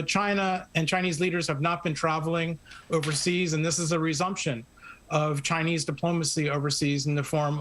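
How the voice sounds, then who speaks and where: A man giving measured, explanatory analysis as a guest in a news interview. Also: on the high side, a bit bright.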